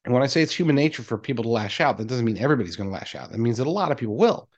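A man's voice: medium pitch, lively intonation, rapid-fire, lo-fi sound. A man hosting a podcast, talking to his audience in a conversational, emphatic monologue. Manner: conversational, emphatic, voicing imagined replies. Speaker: podcast host.

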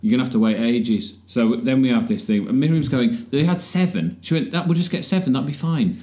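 A man's voice: medium pitch, brisk, warm tone. A man hosting a casual group chat, telling jokey anecdotes and acting out bits of them. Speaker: host of a chatty team show.

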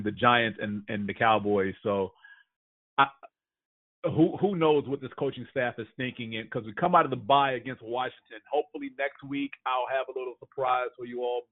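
A man's voice: medium pitch, steady tone, a little fast, no echo. A man co-hosting a casual sports podcast, going over injury news and power rankings. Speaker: podcast co-host. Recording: tinny phone-like dry sound.

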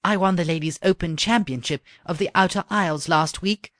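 Reader putting on a young princess, higher voice, formal, dry sound. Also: a little fast, a bit bright, dry recording, close to an everyday voice. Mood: earnest. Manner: formal, old-fashioned speech. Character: proud, sporty young princess.

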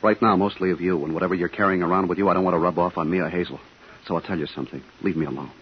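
A very low male voice on a dull, faintly noisy old radio recording: an actor as a guarded suburban husband. He is terse and evasive, with fear held under clipped replies, in 1950s thriller style. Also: fairly even intonation, very fast, close to an everyday voice. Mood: defensive, firm. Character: guarded, scared suburban husband and war veteran. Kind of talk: 1950s radio drama acting.

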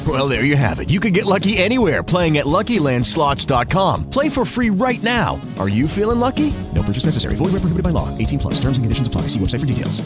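A woman presenting an ad in a perky, brisk manner. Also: deep voice, sing-song, music in the background. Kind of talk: brisk ad read.

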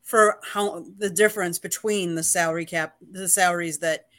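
A woman's voice: on the low side, fairly even intonation, normal speed, fairly bright tone. A woman on a sports talk panel who poses rhetorical questions and then answers them herself.

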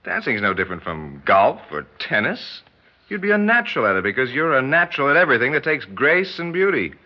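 An actor with an American accent playing an ardent, gentle young suitor, earnest and persuasive, in a 1960s radio drama. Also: male voice, medium pitch, very animated, fairly quick. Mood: warmly persuasive. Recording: muffled 1960s radio recording.

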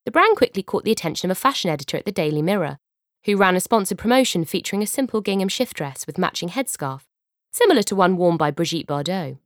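Expressive British woman reading, lively intonation. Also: fairly low pitch, fast talker, no room sound, studio quality. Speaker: author and audiobook narrator. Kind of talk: scripted audiobook narration. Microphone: close studio microphone.

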